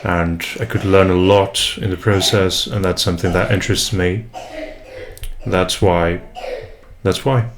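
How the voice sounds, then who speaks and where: Man, young, from Brazil, Portuguese first language, very low voice, halting and thoughtful, home desk mic. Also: steady tone, crowd noise. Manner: hesitant, many fillers and restarts, reflective. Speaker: English tutor and vlogger. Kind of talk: casual first vlog introduction. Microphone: USB desk mic, unprocessed.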